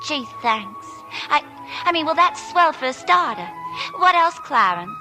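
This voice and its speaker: Brassy high-voiced Brooklyn waitress. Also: female voice, big pitch swings, unhurried, music in the background. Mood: coaxing. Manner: slangy, wisecracking. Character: brassy, big-hearted young Brooklyn waitress with a crush. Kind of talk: 1940s radio acting. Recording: 1940s radio broadcast.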